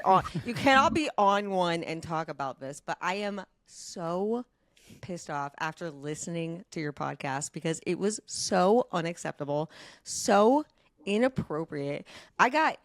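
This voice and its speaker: Lowish woman podcaster going off, emphatic and indignant, swears a lot, dry studio. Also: fairly bright tone, no echo. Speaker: podcast co-host. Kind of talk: opinionated casual podcast rant.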